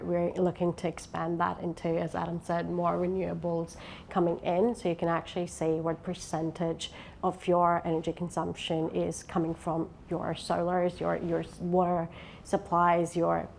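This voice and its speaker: Low, gravelly woman on a panel. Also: a little mellow, a little hiss or hum. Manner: adds points, hesitant with ums. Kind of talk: panel discussion.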